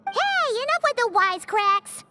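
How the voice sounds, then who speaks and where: An actress voicing a small, childlike fairy companion with a scolding, excitable manner in a video game. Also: female voice, very high-pitched, unhurried, loud music behind the voice, full character voice. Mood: indignant.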